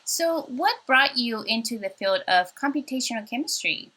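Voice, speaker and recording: A woman's voice: fairly high pitch, expressive, normal speed, bright, forceful tone, breathy edge. A woman interviewer putting prepared questions to a guest in a conversational way over a video call.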